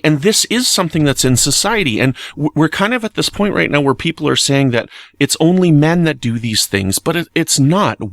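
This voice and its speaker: A man giving opinionated, conversational podcast commentary in an earnest, emphatic way, sometimes halting as he reasons aloud. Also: a little high, a bit bright, no room sound, clean studio sound. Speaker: podcast commentator reviewing a TV episode.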